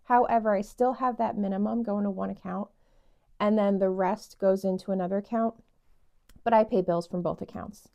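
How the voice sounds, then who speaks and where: A young woman vlogging casually about her budget, her voice raspy since she just woke up, chatty and rambling with fillers. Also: mid-range voice, fairly even intonation, moderate pace, dark tone, lo-fi sound. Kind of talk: casual chat to camera.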